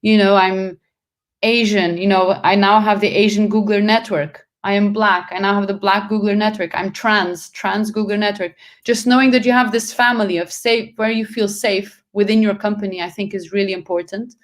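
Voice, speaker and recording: A woman's voice: medium pitch, fairly even intonation, normal speed. Brief: woman raised in Portugal, English as a second language, earnest panel guest, mid-range voice, self-correcting. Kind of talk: panel discussion answers.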